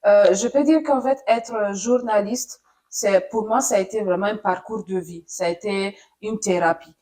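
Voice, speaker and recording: A woman's voice: medium pitch, moderate pace, mellow. A woman born in Italy, an Italian speaker, telling her career story as a radio journalist in an interview, thoughtful with many fillers.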